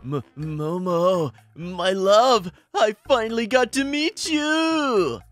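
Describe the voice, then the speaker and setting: Young idol superfan, slow drawn-out gushing, lovestruck, exaggerated anime delivery, music underneath. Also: male voice, high voice, dry recording. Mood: creepy adoration. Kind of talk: exaggerated anime-style voice acting.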